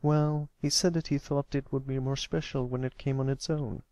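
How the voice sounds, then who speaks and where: A man voicing a shy, earnest young male cartoon pony who speaks gently and hesitantly in a storybook reading. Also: mid-range voice, fairly even intonation, normal speed, dry recording, voice pushed up, natural voice. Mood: gentle, reassuring.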